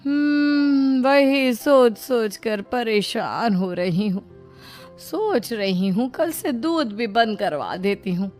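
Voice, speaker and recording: A woman voicing a middle-aged mother-in-law in a moral story cartoon, deliberate and admonishing, caring but scheming. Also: fairly high pitch, animated, darkish tone, soft background music. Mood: worried, lamenting.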